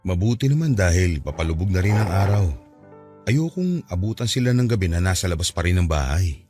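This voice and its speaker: A man's voice: deep voice, very animated, fairly quick. A man playing a middle-aged farmer, a strict but doting husband and father, in a radio drama. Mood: firm. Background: soft music bed.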